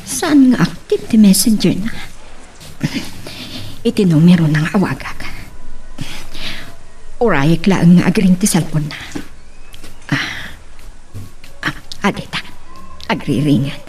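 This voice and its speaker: An animated, suspicious scheming woman. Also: on the low side, slow pace, long pauses, a little hiss or hum. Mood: furtive. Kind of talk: Ilocano radio drama acting.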